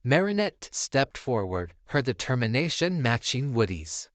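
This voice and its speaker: Slow, expressive male storyteller. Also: medium pitch, no room sound. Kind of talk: scripted bedtime story narration.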